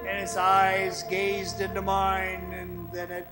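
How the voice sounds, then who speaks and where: Guy acting haunted weary man telling a radio drama story, high voice, slow and halting, dramatic, full of awe. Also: completely flat delivery. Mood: awed. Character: Link, awestruck weary man recounting an eerie underground ordeal. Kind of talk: dramatic radio play narration. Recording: music behind the voice.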